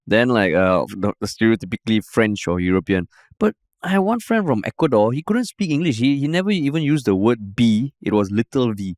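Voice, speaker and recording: A young man with a Singaporean accent, telling stories in an animated way with casual swearing in a podcast chat. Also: on the low side, a little fast, dry recording, clean studio sound. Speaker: podcast co-host.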